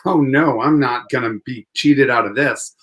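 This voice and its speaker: A man's voice: mid-range voice, normal speed, a bit bright, lightly acted. A man playing a wry, lovelorn young lawyer in a 1940s-style radio play.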